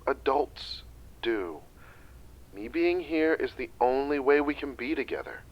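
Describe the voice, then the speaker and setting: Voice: mid-range voice, expressive, measured pace, lo-fi sound. An actor playing a reassuring adult parent in a naturalistic drama, recorded with faint room noise. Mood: explaining.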